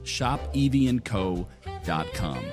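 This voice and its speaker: A man's voice: lowish voice, animated, takes it slowly. A man co-hosting a podcast, thanking the guest and reading sponsor messages in an upbeat promotional way. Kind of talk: sponsor reads and outro. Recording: music playing underneath. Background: music.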